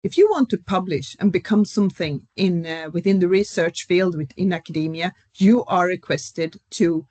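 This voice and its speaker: A middle-aged professor, speaking as a guest in an academic panel discussion. She explains at a measured pace, with frequent fillers. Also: on the low side, dark tone, no echo. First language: Swedish.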